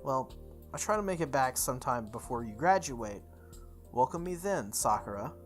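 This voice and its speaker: A woman's voice: very deep voice, lively intonation, moderate pace, soft background music. A woman voicing a blunt, casual, protective teenage boy from a visual novel. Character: stubborn, protective teenage boy, archer turned club quitter.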